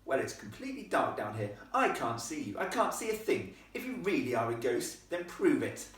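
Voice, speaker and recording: A man's voice: mid-range voice, very animated, normal speed, a bit bright, pitched above the performer's own voice. A man voicing a posh, well-spoken young upper-class girl, indignant and defiant, in a storybook reading. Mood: defensive. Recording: echoey room, distant microphone.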